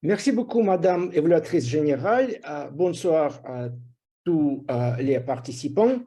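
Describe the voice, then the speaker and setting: A high-voiced man with a foreign accent gives a prepared evaluation. He is not a native speaker and talks slowly and haltingly, filling the gaps with hesitation sounds. His voice is dark and soft-edged. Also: animated. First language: not French. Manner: halting, hesitant delivery with constant filler sounds. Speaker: grammarian giving a report at a speaking club.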